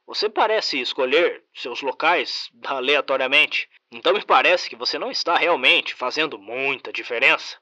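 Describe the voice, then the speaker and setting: A man voicing a calm male researcher who reasons patiently with a creature. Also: medium pitch, moderate pace, few pauses, no room sound, dull audio.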